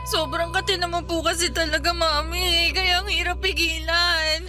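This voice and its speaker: A woman's voice: very high-pitched, little pitch movement, normal speed, music in the background. An actress in a radio drama playing a young woman whose skin is covered in itching, festering sores. She speaks in a complaining, pleading way. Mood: troubled. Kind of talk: Tagalog radio drama acting.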